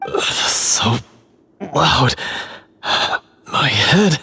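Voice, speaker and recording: Meek young male hunter, fantasy game: slow, halting, earnest delivery, mid-pitched, sighing with remorse. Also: animated, creaky, bright, forceful tone, soft background music, exaggerated voice. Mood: groaning, pleading.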